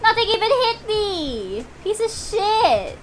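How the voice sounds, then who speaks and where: A woman's voice: very high-pitched, big pitch swings, takes it slowly, shouting, heavy background noise. A woman doing casual live gameplay commentary in a playful, exclamatory way, swearing freely. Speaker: game streamer.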